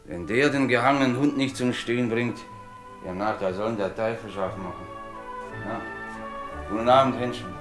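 An actor playing a hearty village blacksmith in a radio play, rambling colloquially. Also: male voice, mid-range voice, moderate pace, long pauses, music in the background, close to an everyday voice. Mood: jovial, earthy. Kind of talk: German radio play acting.

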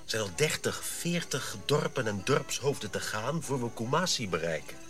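An actor playing a dutiful, questioning Dutch colonial officer, earnest and precise, in a radio drama. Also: male voice, mid-range voice, big pitch swings, moderate pace, fairly bright tone, faint music behind. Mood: concerned.

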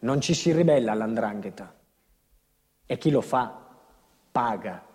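An actor playing a probing, warning inner voice in a stage drama, measured and insistent, soaked in long reverb. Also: male voice, mid-range voice, lively intonation, darkish tone, slightly rough. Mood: ominous, insistent. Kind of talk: theatrical drama dialogue.

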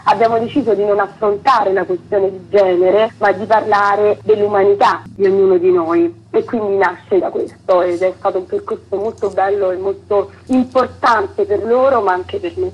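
A woman's voice: mid-range voice, steady tone, moderate pace, hardly any pauses, husky, highs cut off. A woman being interviewed on the radio as a theatre director, explaining earnestly in long, fluent sentences.

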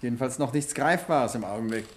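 A man playing a courteous, wry English gentleman investigator in a 1950s German radio play, polite and unhurried, sometimes teasing. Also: mid-range voice, natural voice. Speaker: radio drama actor. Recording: noisy, dull 1950s radio recording.

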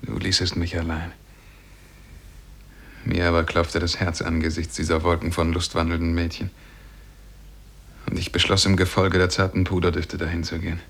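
An actor playing a lovesick, melancholy man who tells the story of his youth in a measured, mournful way, in 1950s German radio drama style. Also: low voice, completely flat delivery, gravelly, fairly bright tone, breathy edge, exaggerated voice. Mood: reproachful, wistful.

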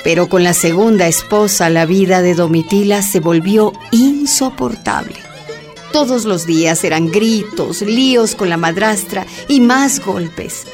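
Female radio drama narrator, played by an actress, solemn, scripted storytelling, medium pitch, music underneath. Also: unhurried, natural voice. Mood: measured, dramatic.

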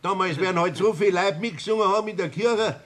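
A man playing a teasing, mocking Bavarian drinking companion in a radio play, with a husky voice. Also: high-pitched, fast talker, a bit bright.

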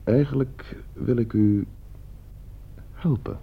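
An actor playing a calm, calculating schoolteacher in a radio play, speaking with polite, insinuating control. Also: male voice, mid-range voice, takes it slowly, breathy edge. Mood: calm, menacing. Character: calm, calculating male schoolteacher, polite but menacing manipulator. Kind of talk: Dutch radio play acting. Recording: muffled old radio play recording.

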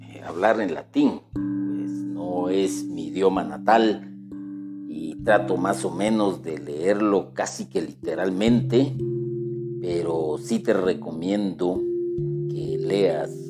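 Slow-spoken man teaching over guitar music. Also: deep voice. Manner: slow, deliberate, speaks directly to the listener. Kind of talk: religious teaching talk. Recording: guitar music playing behind the voice. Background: plucked guitar music.

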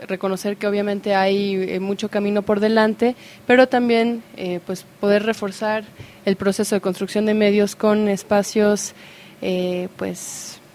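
Brief: female radio guest, mid-pitched, filler-heavy, thinking aloud. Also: a little mellow, a little hiss or hum. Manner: hesitant, many fillers. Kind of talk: radio discussion.

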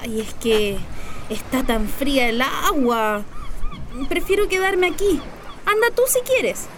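Radio play: resigned Chilean working-class mother acted by a woman, high-pitched, plain-spoken, light ambience behind. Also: lively intonation, slow. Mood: reluctant. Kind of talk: Spanish-language radio drama acting. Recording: light outdoor ambience noise.

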